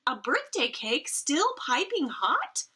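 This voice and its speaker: A woman's voice: sits high, sing-song, slow pace, bright, forceful tone, pitched above the performer's own voice, exaggerated voice. A woman voicing a delighted, grateful mother from a children's storybook, gushing with glee. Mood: gleeful, touched. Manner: gleeful, gushing exclamations.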